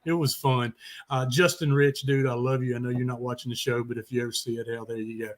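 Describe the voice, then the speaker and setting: A man's voice: medium pitch, flat delivery, quick pace. A talkative man co-hosting a music talk show, chatting in a casual, rambling way with blunt swearing. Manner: foul-mouthed, blunt, talkative.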